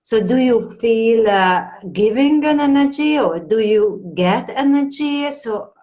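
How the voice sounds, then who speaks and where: A woman's voice: fairly high pitch, expressive, slow, darkish tone. A woman participant in a conversation, asking follow-up questions in an informal way.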